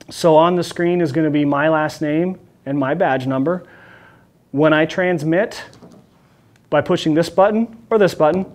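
Middle-aged male police officer, slightly higher-pitched voice, informal explainer delivery to camera. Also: darkish tone. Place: small office meeting room, indoors.

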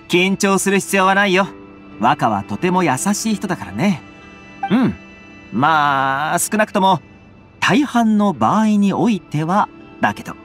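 A loyal, easygoing young attendant in a video game. His voice sits a bit high and moves with lively inflection, earnest and reassuring over soft music. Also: slow, heavily acted. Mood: teasing, reassuring. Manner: casual, friendly, reassuring phrasing. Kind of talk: Japanese game voice acting.

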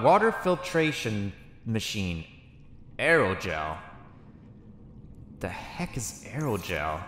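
A man's voice: mid-range voice, fairly bright tone, slight background noise. A young man roleplaying through a survival game, musing aloud to himself with nervous outbursts. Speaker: gaming YouTuber roleplaying a character. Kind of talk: in-character gameplay commentary.

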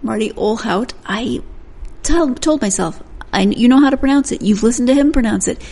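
A woman's voice: on the high side, animated, normal speed, darkish tone, husky. A woman hosting a book podcast, chatting through an intro and catching her own slips with a laugh. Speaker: podcast host. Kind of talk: solo intro to a book interview.

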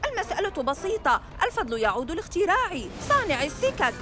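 A woman's voice: very high-pitched, big pitch swings, fast, over loud music. A woman voicing a boastful boy mad scientist villain in a dubbed cartoon, gloating over his inventions. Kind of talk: cartoon dub acting.

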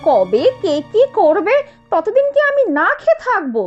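A spoiled young wife from a rich family in a cartoon. Her voice is extremely high and swings in pitch as she scolds and complains with open scorn. The audio is dull, with clear music behind. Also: wildly swooping pitch, normal speed, exaggerated voice. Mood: scornful complaining. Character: spoiled, sharp-tongued rich young daughter-in-law. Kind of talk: Bengali cartoon voice acting.

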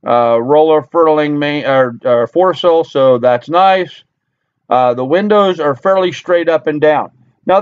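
A man's voice: a little high, dry recording. A middle-aged American man from Long Island, New York, talking viewers casually through what he sees in a rambling, repetitive way. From Long Island, New York, USA. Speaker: vlogger. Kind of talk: casual talk-through commentary. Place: small cluttered wooden-ceilinged room indoors. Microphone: computer webcam at desk distance. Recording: dull webcam microphone sound.

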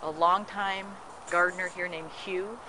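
A woman's voice: lowish voice, steady tone, normal speed. A woman working as a nursery guide, explaining plants in an informative, enthusiastic walk-and-talk outdoors in a display garden. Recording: outdoor noise on camera mic.

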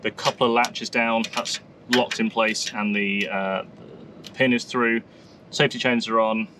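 A man in his thirties, a vlogger, talking outdoors in a wooded clearing and explaining things step by step. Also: medium pitch, noisy background. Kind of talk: casual explainer vlog.

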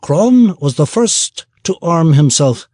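A man voicing a gruff, unbothered male dwarf. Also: on the high side, expressive, slow pace, warm tone, dry recording, clean studio sound, voice pushed up. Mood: matter-of-fact.

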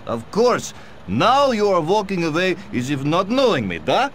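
A man's voice: sits high, wildly swooping pitch, moderate pace, slight background noise. An actor playing a stiff male Soviet agent with a Russian accent and broken, formal English in a video game. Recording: game audio with faint music.

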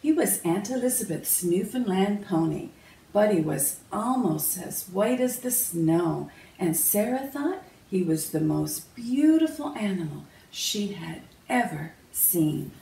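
A woman reading a children's picture book aloud in a measured, expressive storytelling manner. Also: medium pitch, creaky. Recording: roomy, distant mic, faint noise.

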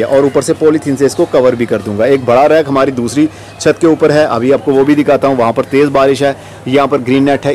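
A man with a mellow, relaxed voice talks casually as he hosts a gardening vlog. His pitch sits in the middle range. He is recorded outdoors on a handheld camera, with faint ambient noise behind him. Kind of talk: casual vlog walkthrough narration. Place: outdoors on rooftop terrace garden in rain. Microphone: handheld camera microphone. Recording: outdoor ambient noise, light urban background.

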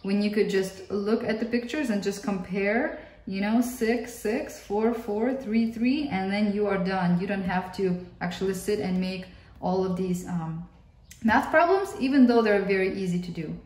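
A woman's voice: mid-range voice, moderate pace, darkish tone. A woman in her thirties, a Russian native speaker with a Russian accent, explaining homeschool workbooks in a friendly way as a mother and often repeating words for emphasis. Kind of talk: casual workbook flip-through review. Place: indoor room at a table. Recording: roomy, distant mic, faint hiss.

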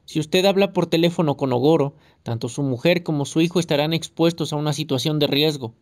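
A reader voicing a fearful, hesitant police officer on the phone in an audiobook. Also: male voice, a little high, brisk, close to an everyday voice. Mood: nervous. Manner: hesitant, muttering.

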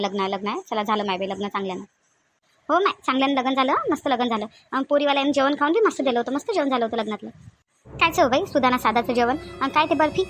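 A woman's voice: high-pitched, natural voice. A woman voicing village women of a family in a homemade cartoon, talking colloquially and expressively with a Vidarbha Marathi accent. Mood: gossipy. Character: women of a village family: shy bride, chatty sisters-in-law, scolding aunt.

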